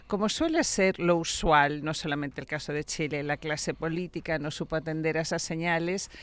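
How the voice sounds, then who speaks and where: A woman from Venezuela, a political scientist, gives measured, reflective answers in a radio studio interview and often repeats words as she thinks. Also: low-pitched.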